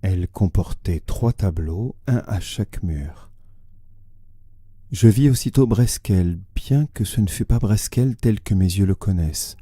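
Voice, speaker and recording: An actor playing a haunted, brooding man who narrates his uneasy memories in a solemn, measured literary reading. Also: fairly low pitch, lively intonation, dark tone, husky, no echo, studio quality. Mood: hushed, ominous. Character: haunted, brooding man recalling an uncanny old house. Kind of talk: dramatised literary reading with sound design.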